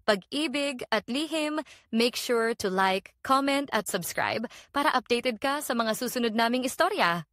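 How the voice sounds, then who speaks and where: Filipino woman, channel host, fairly high voice, chatty, casual, code-switching, dry recording. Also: fairly bright tone, no room sound. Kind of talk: casual scripted outro to listeners.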